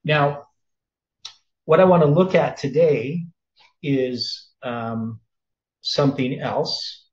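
A man in his sixties leading a sermon-style Bible study, explaining slowly and thinking aloud, with frequent self-corrections. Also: mid-range voice, darkish tone. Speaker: preacher. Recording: roomy, slightly dull sound.

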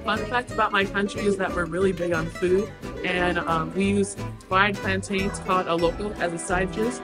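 A woman's voice: mid-range voice, steady tone, moderate pace, over music. A teenage girl from Côte d'Ivoire giving a friendly, informative interview answer. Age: teens.

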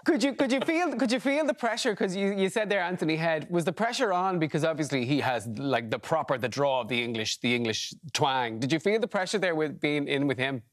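A man's voice: a little high, expressive, fairly quick, few pauses. A man with an Irish accent hosting a breakfast TV show, asking chatty questions with restarts. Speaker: TV host. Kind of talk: breakfast TV interviewing. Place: TV studio.

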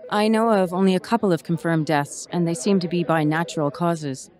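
An actress playing a weary but dutiful emergency management officer giving a formal radio interview. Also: female voice, on the low side, steady tone, fairly quick, darkish tone, lightly acted. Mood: measured, official.

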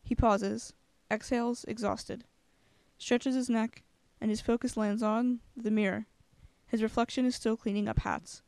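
Female screenplay reader, higher voice, scripted dramatic read of stage directions and lines. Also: steady tone, measured pace, gravelly, darkish tone, clear, resonant tone.

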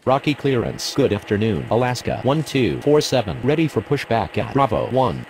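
A man voicing an airline pilot and clearance exchanges in a game, in clipped, procedural radio phraseology. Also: medium pitch, very animated, moderate pace, darkish tone, a little hiss or hum. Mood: businesslike.

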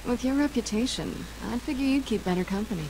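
An actress playing a sharp-tongued young female con artist in a video game, dry and sardonic. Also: fairly high pitch, animated, fast. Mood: dry accusing. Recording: game audio with noise behind.

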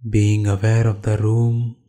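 A man with an Indian accent leading a guided meditation as a yoga instructor, calmly giving short instructions with pauses between phrases. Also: lowish voice.

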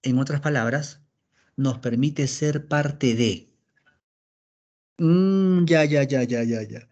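A man teaching a philosophy class over a video call, lively and acting out his students' questions. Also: medium pitch, slow pace. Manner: animated, acts out students' questions. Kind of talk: online class lecture. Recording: dull lo-fi call audio.

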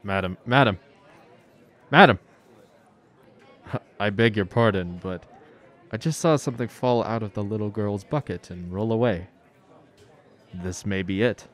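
A man playing a gentle, courteous stranger in a radio drama, speaking in a measured way. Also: fairly low pitch. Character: gentle, kindly male stranger, a traveler.

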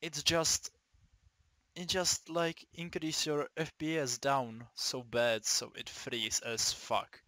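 A young man from the Czech Republic with a Czech accent, giving a casual, halting gaming tutorial, swearing often and restarting his sentences. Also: on the high side, flat delivery, pushed and bright, no room sound. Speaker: gaming video maker.

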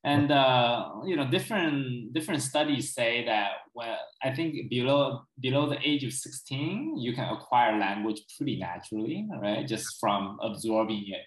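A man's voice: medium pitch, normal speed, hardly any pauses, reverberant, poor audio. A man in his thirties, a native Chinese speaker, explaining at length in a casual interview over a video call from a small room, often adding "right?" and "you know". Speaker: guest expert. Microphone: video call, laptop camera.

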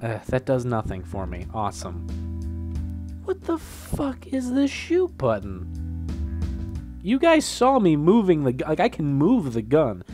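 A young man, sniffling from a cold, talks his way through a game with a lively, swinging voice, reading its text aloud and swearing when it confuses him. Faint game music plays behind him. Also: on the high side, measured pace. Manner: swearing, laughing, easily flustered. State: getting over a cold, sniffling. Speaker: gameplay commentator. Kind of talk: casual let's play commentary, reading game text aloud.

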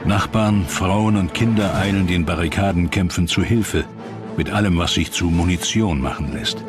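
Male documentary narrator, deep, measured, dramatic scripted read, over a music bed.

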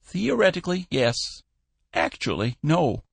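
A man voicing a cantankerous, bossy old shipping tycoon in an audiobook, shrill, scolding and full of bluster. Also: big pitch swings, measured pace, vocal fry, breathy edge, no echo, full character voice.

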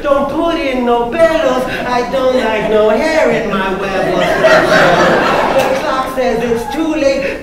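High-pitched man declaiming in an echoey hall. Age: middle-aged. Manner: loud, playful declaiming with Spanish words mixed in. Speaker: performance poet. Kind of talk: live spoken-word poetry performance, rhymed and declaimed. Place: large meeting hall with audience. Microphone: stand microphone on stage. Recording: echoey hall, far mic, audience noise.

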